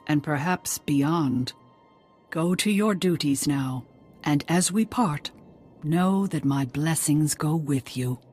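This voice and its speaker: A woman's voice: fairly low pitch, breathy edge. An actress playing a serene, solemn pilgrim elder woman in a video game, speaking with ceremonial care. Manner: ceremonial, measured. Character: serene, solemn pilgrim matriarch giving blessings.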